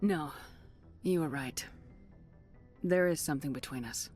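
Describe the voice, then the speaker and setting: A woman's voice: deep voice, measured pace, darkish tone, faint music behind. An actress playing a composed, reserved female military commander in a sci-fi video game, speaking plainly and directly. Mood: soft, sincere. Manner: plain, direct, brief statements. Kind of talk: video game cutscene dialogue acting.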